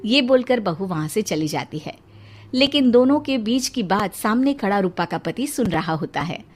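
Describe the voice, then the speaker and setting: A woman's voice: medium pitch, lively intonation, normal speed, faint music behind. A woman reading scripted story narration.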